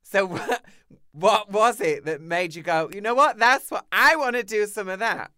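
A man's voice: high-pitched, normal speed, pushed and bright, clear, resonant tone, no room sound, studio quality. A man in his thirties hosting a casual chat, teasing and camp. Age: 30s. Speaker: host.

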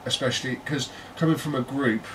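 A man's voice: mid-range voice, fairly quick, a bit bright. A man with a British accent co-hosting a casual tech podcast, chatty and jokey, often breaking into laughter. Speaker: podcast co-host. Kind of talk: casual chat. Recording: distant mic with faint noise.

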